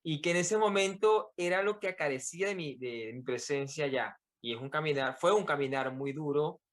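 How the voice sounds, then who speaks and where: A man's voice: a little high, moderate pace, a bit bright. A man from Venezuela with a Venezuelan accent, speaking reflectively as an interview guest and often repeating words as he gathers his thoughts. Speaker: podcast guest, author. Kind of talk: personal interview account.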